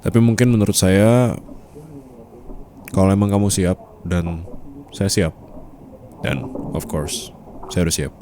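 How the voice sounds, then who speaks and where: A man's voice: low voice, unhurried, long pauses. A man playing a teasing, possessive boss in love with an employee, in an intimate romantic ASMR roleplay. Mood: tender, serious. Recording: noticeable background noise, ambient sound effects.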